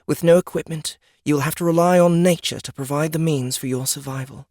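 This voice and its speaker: A woman playing a stern goddess of nature in an audiobook, speaking formally and deliberately, with an imperious manner. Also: low voice, steady tone, dark tone, no room sound, clean studio sound. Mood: stern, testing. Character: stern, regal goddess of nature, solemn and commanding. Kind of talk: scripted audiobook character acting.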